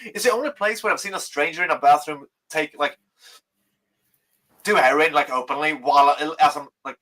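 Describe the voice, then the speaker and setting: A Swedish man with a Swedish accent, talking loosely on a podcast and cursing at every turn. His voice sits a little high and comes out bright and forceful. He trips over himself with restarts but keeps driving on. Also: a little fast. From Sweden. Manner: swears constantly, animated, rambling with restarts. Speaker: podcast co-host. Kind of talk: casual chat.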